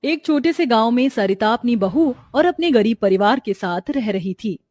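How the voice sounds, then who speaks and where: Female storyteller, scripted children's tale narration, slightly high pitch, dry studio-like sound. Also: lively intonation, normal speed, darkish tone, no echo.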